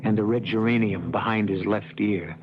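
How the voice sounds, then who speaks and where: A man hosting an old radio drama, reading his script in a measured, wry and formal manner. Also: lowish voice, fairly even intonation, noisy background. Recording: dull old radio broadcast, music behind.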